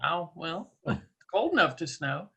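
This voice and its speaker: A woman's voice: lowish voice, very animated, unhurried, fairly bright tone, a little hiss or hum. A woman hosting, chatting casually before handing over to a moderator. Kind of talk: casual chat.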